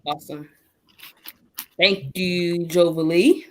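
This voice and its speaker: A woman's voice: on the low side, steady tone, slow pace, darkish tone, slight background noise. A woman moderating a casual panel, thanking guests and putting questions to them. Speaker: host, moderator.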